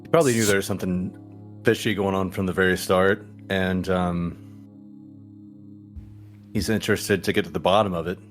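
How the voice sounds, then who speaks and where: Very deep-voiced man playing a tabletop game. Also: fairly even intonation, moderate pace, pauses often. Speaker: tabletop roleplaying player. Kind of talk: casual improvised description of his character. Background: soft music bed.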